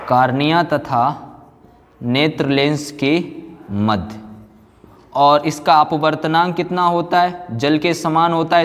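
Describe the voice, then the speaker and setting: A man giving a classroom lecture, repeating phrases for note-taking and answering his own questions. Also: fairly high pitch. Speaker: physics teacher. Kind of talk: classroom lecture, explaining and dictating notes. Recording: echoey room with long reverb.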